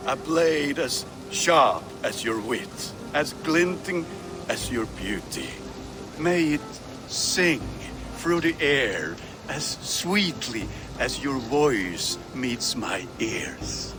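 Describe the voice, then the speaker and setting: A man's voice: mid-range voice, very animated, very slow. A man voicing a tender, sentimental Viking groom who speaks his vows in a flowery, heartfelt way. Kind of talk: video game voice acting. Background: music.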